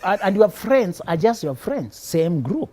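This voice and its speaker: A male psychologist from Kenya gives relationship advice on a live show. His pitch swings widely as he talks. He sounds preachy and playful, often breaking into laughter. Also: very animated, unhurried, darkish tone. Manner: preachy, laughs often, teasing punchlines, repeats words. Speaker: psychologist guest.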